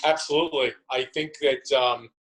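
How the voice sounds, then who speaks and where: A man's voice: mid-range voice, steady tone, moderate pace, a bit bright, rough recording. A man speaking as an interview guest on a video call, chatting casually in an earnest, tentative way. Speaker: interview guest, theater company member.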